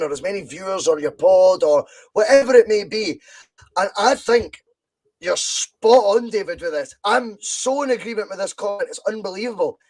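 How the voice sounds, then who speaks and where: A man's voice: sits high, a little mellow, low-quality recording. A Scottish man hosting a podcast, talking football with passion and repeating points for emphasis. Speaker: podcast host. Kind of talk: casual football chat.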